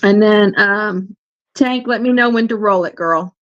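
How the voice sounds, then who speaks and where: A woman's voice: mid-range voice, unhurried, dry recording, lo-fi sound. A woman hosting a casual livestream, talking in a chatty way with lots of fillers and restarts, her voice starting to wear out. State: losing her voice. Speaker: livestream host.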